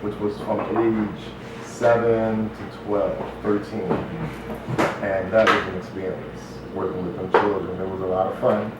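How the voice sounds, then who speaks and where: Low-voiced man in a very echoey, noisy room. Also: flat delivery, unhurried, distant mic. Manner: rambling, peppered with "you know". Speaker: interview guest, stage play writer and producer. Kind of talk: casual conversational interview.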